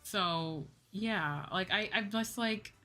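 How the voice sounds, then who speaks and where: Bright-voiced young woman streamer, rambling casually. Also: mid-range voice, slow. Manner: rambling, repeats phrases for emphasis. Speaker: VTuber streamer. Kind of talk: casual livestream chat. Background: background music.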